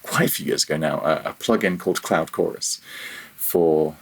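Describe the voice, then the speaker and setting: A man's voice: a bass voice, moderate pace, gravelly, slightly rough. A man speaking casually and reflectively as a podcast guest, hesitant and self-deprecating in how he phrases things. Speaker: podcast guest, freelance audio developer.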